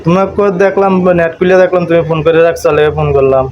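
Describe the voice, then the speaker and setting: A man's voice: fairly high pitch, racing, lo-fi sound, a little hiss or hum. A man with an eastern Bengali dialect in casual domestic talk on a recorded call. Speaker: husband on a call with his wife. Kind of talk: casual domestic phone chat, arguing.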